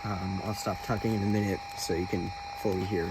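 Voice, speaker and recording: A man giving a casual, informal product demonstration as a video host, speaking in a somewhat hesitant, halting way. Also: low voice, little pitch movement, very noisy. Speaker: video host, radio hobbyist.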